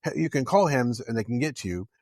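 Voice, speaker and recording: A man's voice: mid-range voice, animated, quick pace, darkish tone, no echo. Male podcast host, dry close sound. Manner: thinks aloud, repeats words. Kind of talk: podcast interviewing.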